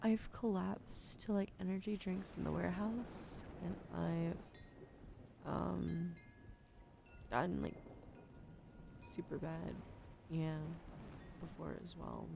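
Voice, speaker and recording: A young woman streaming ASMR, drowsy and soft-spoken, slipping into whispers as she chats. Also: fairly low pitch, lively intonation, takes it slowly, vocal fry, long pauses, background noise. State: sleepy. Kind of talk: sleepy ASMR stream chat.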